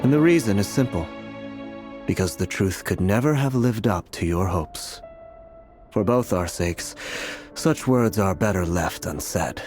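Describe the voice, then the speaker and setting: Solemn, low-voiced prophet. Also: male voice, lively intonation, darkish tone. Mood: grave. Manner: measured, formal, grave. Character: calm, solemn male prophet, wise and guarded. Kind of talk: dramatic video game voice acting. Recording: background music under the voice.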